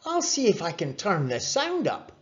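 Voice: medium pitch, wildly swooping pitch, moderate pace, lo-fi sound, pitched above the performer's own voice. A narrator voicing an eager schoolboy, a friend in a children's story. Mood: eager, concerned. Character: Angus, eager boy friend of Rory.

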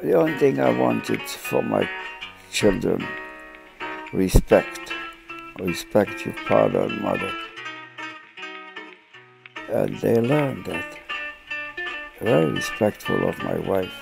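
An older man with a Polish accent, talking slowly and earnestly about his family and marriage in a home interview. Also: on the low side, animated, vocal fry, music in the background, low-quality recording. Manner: slow, plain, earnest phrasing. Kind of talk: reminiscing interview. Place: living room at home.

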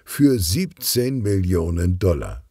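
A self-assured female crime boss from a children's audiobook, acted out by a man. The delivery is mellow and slow, with an amused, smooth confidence and lively swings of melody. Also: low voice, no echo, studio quality, exaggerated voice. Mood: smug, amused.

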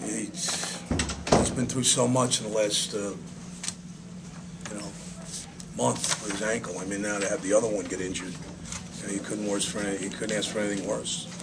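An older man, a head coach, answering postgame questions at a press conference in a halting, subdued way with many restarts. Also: on the low side, little pitch movement. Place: small press room with sponsor backdrop. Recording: noisy room ambience.

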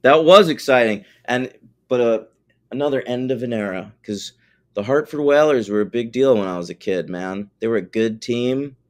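A man's voice: medium pitch, moderate pace. A man co-hosting a podcast, talking casually and animatedly, joking and swearing. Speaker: podcast co-host.

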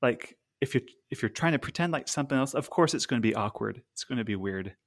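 A man's voice: medium pitch, animated, quick pace. Easygoing man asking podcast questions. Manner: frequent "uh-huh" backchannels, easygoing questions. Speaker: podcast co-host. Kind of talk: casual interview chat. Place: small room at home. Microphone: studio mic on stand, remote call.

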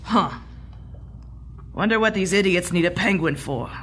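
A woman's voice: on the low side, a little slow, background noise, close to an everyday voice. A woman playing a brassy, wisecracking 1940s secretary in a comedic audio drama, brusque and snippy. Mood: sarcastic.

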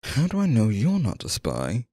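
A man playing a sinister, flattering stage magician in an ASMR roleplay, speaking in a flowery, genteel, theatrical manner. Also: mid-range voice, normal speed, dry recording, clean studio sound. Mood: suave, hospitable.

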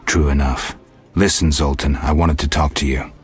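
An actor playing a stoic monster hunter in a fantasy game, with a dry, terse, deadpan delivery. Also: male voice, rumbling low, normal speed, soft background music. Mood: dry, level.

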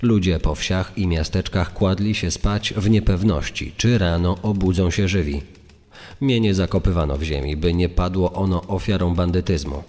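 A man's voice: low voice, moderate pace, a little mellow. A man reading grave, scripted true crime narration. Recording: long reverb, music underneath.

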